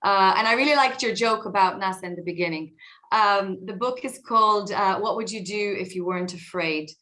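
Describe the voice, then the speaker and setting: A woman in her forties with an Israeli accent, talking about her life on a video call from her home basement, a little hoarse from a cold, reflective, with many fillers and restarts. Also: mid-range voice, fairly quick, bright, forceful tone. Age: 40s. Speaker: guest speaker. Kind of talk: personal talk over a video call.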